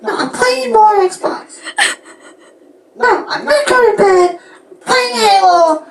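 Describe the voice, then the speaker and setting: A man voicing a whiny little boy refusing bedtime through a pitch-shifting voice effect in a vlog skit. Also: slow pace, gravelly, exaggerated voice. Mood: whiny, defiant. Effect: voice-changing effect pitched up. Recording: roomy, distant, noisy home recording.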